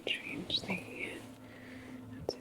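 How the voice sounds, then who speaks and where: A woman performing an ASMR roleplay as a station attendant, speaking in a breathy whisper with a gentle, reassuring, unhurried manner.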